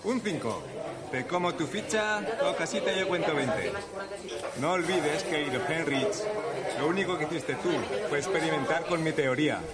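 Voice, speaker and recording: An actor playing a smug, teasing physicist in heaven, in a comic radio play. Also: male voice, a little high, animated, moderate pace, lightly acted. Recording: loud crowd behind the voice.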